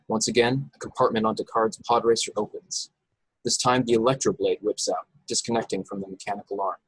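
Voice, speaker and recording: A man's voice: fairly low pitch, slightly rough, no room sound, rough recording. A man reading screenplay action and scene headings as the narrator of an informal table read. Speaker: narrator reading stage directions.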